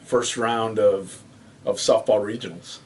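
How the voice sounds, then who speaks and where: A Cuban man whose first language is Spanish, co-hosting a casual sports talk show and pressing his points with animated, climbing emphasis. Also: fairly low pitch, unhurried. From Cuba. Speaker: co-host.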